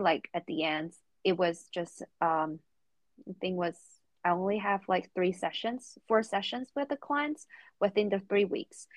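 Low-pitched young woman, English speaker. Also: no echo, dull audio. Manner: halting, careful phrasing in non-native English. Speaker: podcast guest, recent therapy student. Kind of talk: reflective interview answers.

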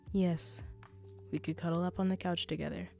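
A woman acts out a female mafia boss in an intimate audio roleplay, with music behind her. Her voice sits fairly low and is very warm and mellow. She is in command, yet teases her girlfriend and dotes on her with pet names. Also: creaky. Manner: assured, teasing, affectionate, pet names.

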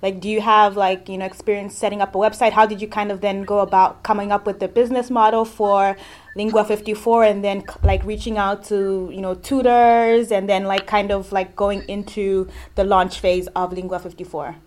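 Woman host from Zambia, mid pitch, chatty, rambling questions. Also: flat delivery, moderate pace, a little mellow. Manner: chatty, loose questions with many likes and you knows. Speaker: podcast host. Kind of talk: casual interview.

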